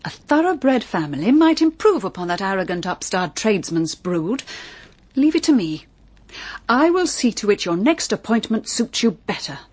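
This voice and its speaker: An actress playing a brisk, cheerful middle-aged English mother in a period audio drama, with an English accent. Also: medium pitch, sing-song, a little mellow. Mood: encouraging.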